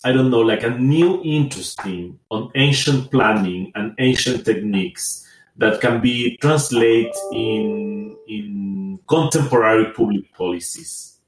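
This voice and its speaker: Low-voiced man in an echoey room. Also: a little slow, faint music behind. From Rapa Nui. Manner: hesitant, thoughtful, many fillers and restarts. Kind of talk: conversational interview.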